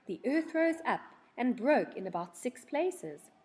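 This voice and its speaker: A woman reading a children's storybook aloud in an expressive, dramatic storytelling manner. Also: on the high side, a little slow.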